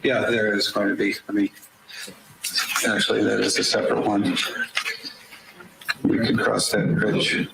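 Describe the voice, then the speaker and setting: A man's voice: fairly low pitch, completely flat delivery, unhurried, vocal fry, pauses often. A man speaking as a defense attorney in court, polite and formal toward the judge. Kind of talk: courtroom address. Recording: echoey courtroom audio with noise.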